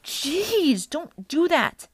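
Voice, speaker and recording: A woman's voice: mid-range voice, lively intonation, moderate pace, dry recording, natural voice. A determined, brainy young girl, acted by a woman in an audiobook. She is bossy and urgent, with precise wording. The sound is dry and close.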